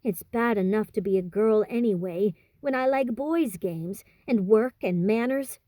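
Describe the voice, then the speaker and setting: A woman's voice: medium pitch, a little slow, dark tone, dry recording, natural voice. A woman voicing a blunt, boyish teenage tomboy in a storybook, brash and plain-spoken. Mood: defiant.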